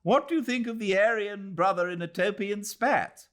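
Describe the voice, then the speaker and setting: A man's voice: sits high, normal speed, fairly bright tone, pitched above the performer's own voice. A male narrator voicing a pompous, condescending young colonial official with a British accent, declaiming his opinions in a storybook reading. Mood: breezy.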